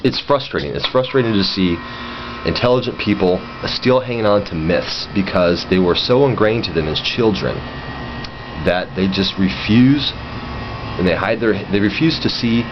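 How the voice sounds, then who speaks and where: A man's voice: low-pitched, lo-fi sound, noisy background. A man giving a casual, opinionated monologue, blunt and exasperated, swearing and acting out conversations as he goes. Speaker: vlogger.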